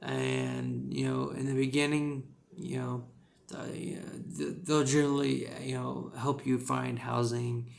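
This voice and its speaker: A man's voice: medium pitch, expressive, measured pace, vocal fry, a little hiss or hum. A man with a rural American accent giving casual, rambling advice as an English teacher, with lots of "you know" fillers.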